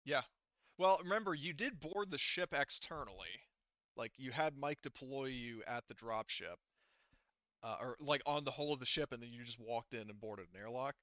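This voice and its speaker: A man running a tabletop roleplaying game as game master, improvising narration in an informal, halting way full of fillers. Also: mid-range voice, expressive, fairly bright tone, dry recording, lo-fi sound.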